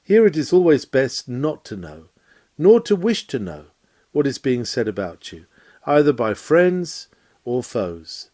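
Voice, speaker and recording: Man, mellow relaxed tone, mid pitch, expressive rise and fall, measured oratorical delivery, scripted lecture reading. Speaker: reader of a historic sermon lecture.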